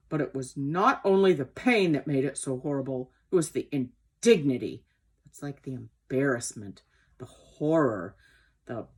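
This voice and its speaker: A woman's voice: low voice, husky, heavily acted. Woman voicing an indignant old horse, swooping and emphatic. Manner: emphatic, aggrieved, dramatic. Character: old male horse, gentle but indignant.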